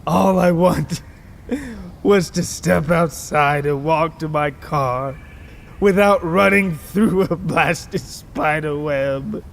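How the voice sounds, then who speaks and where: A man voicing a whining, exasperated captive who complains in a drawn-out way. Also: on the high side, creaky, slight background noise.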